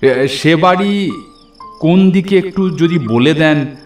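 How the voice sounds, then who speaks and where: A timid, flustered traveller who stammers through a horror cartoon, played by a man. The voice is raised high and echoes a little away from the mic, over soft music. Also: slow pace, far from the mic. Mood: hesitant, flustered. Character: timid, ailing, flustered male traveller from the city. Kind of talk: Bengali horror cartoon voice acting.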